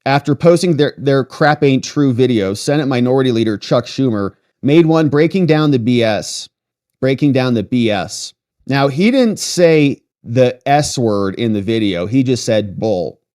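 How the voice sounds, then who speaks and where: An American man hosts a political commentary stream. His mid-range voice swings widely in pitch as he reads articles aloud. He keeps cutting in with mocking, sarcastic asides. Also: lively intonation, unhurried, darkish tone.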